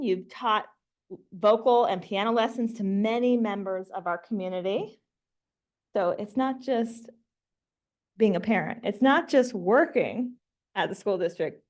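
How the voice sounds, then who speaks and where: A woman's voice: mid-range voice, expressive, normal speed, a little mellow. A woman giving a formal, prepared tribute as an award presenter at a meeting. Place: meeting room, speaking at a microphone.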